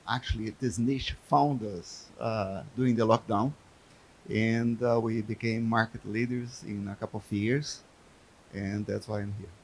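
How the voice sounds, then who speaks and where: A man's voice: on the low side, steady tone, a little slow, low-quality recording. A man from Brazil, not an English speaker, introducing his company briefly and plainly on a conference panel. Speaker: business owner, panelist. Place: conference stage.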